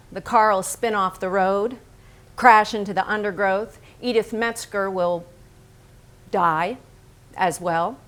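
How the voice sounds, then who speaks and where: A woman acting in a staged reading as a blunt, self-assured American male painter, speaking in deliberate, declarative phrases. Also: medium pitch, a bit bright, close to an everyday voice. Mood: grim, matter-of-fact. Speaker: stage actor.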